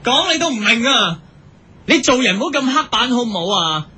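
A man's voice: very high voice, very animated, brisk, pushed and bright, slightly rough, heavily acted. A man playing a whiny, vain rookie hero in a comedic cartoon-parody radio drama, grumbling and melodramatic.

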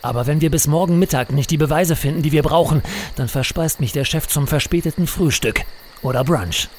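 A man's voice: fairly high pitch, brisk, a little mellow, a little hiss or hum, lightly acted. A man playing a reckless, unflappable adventurer hero in a comedic audio drama, pompous, flippant and melodramatic. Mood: breezy, confident.

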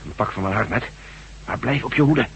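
A brisk male voice at medium pitch, an actor playing a loyal, hearty general in a radio drama. He reasons things out quickly as a cautious friend would, over a faintly hissy recording. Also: gravelly, dull audio, close to an everyday voice. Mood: anxious, alert.

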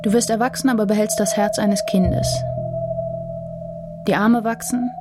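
A woman reading poetic narration for a radio play in a measured, literary way. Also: medium pitch, fairly even intonation, close to an everyday voice. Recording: music behind the voice. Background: plucked guitar music.

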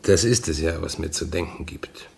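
An actor playing a grave, shaken train driver in a solemn 1950s radio drama style. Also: male voice, extremely low pitch, creaky, darkish tone, husky, exaggerated voice. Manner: solemn, deliberate.

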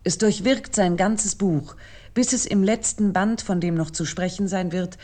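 A woman performing as a thoughtful essayist, narrating a scripted radio essay in a measured, articulate, formal way. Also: medium pitch, warm tone, lightly acted. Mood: measured, reflective.

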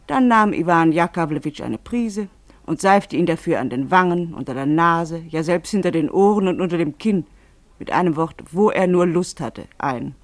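A narrator reading scripted literary prose for a radio play in a measured, explanatory, mock-formal way. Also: mid-range voice, lively intonation, no echo.

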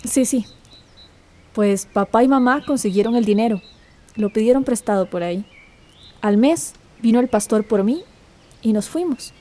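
A woman's voice: on the high side, normal speed, long pauses, dark tone, close to an everyday voice. An actress playing a sincere, hopeful young woman in a radio drama. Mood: somber, reflective. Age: young adult. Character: young trafficking survivor, hopeful and sincere daughter.

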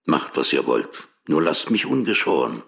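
An actor playing a weary, distrustful old poet in a literary radio drama, proud, brusque and guarded. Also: male voice, rumbling low, very animated, moderate pace, creaky, fairly bright tone, heavily acted. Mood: gruff, resigned. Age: older.

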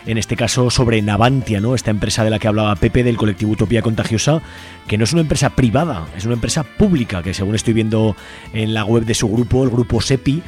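Male radio host, Spain Spanish, lowish voice, informal and conversational, faint music underneath. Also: fast talker, a little mellow. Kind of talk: informal community radio talk.

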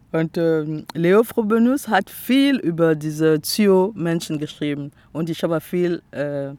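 A woman from the Republic of the Congo with a Congolese accent, whose first language is Teke. She speaks German as an interviewee, searching for words and filling pauses often. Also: low voice, a little mellow. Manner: halting non-native German, searching for words with many fillers. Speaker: artist, interviewee. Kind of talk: casual interview chat. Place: outdoors in the grass by a lake.

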